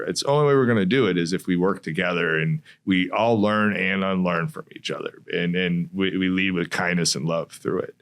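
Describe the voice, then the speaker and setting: Low-voiced guy hosting a local podcast, earnest, lots of ums and restarts. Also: fairly bright tone. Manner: earnest, hesitant with fillers. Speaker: podcast host. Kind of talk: conversational interview.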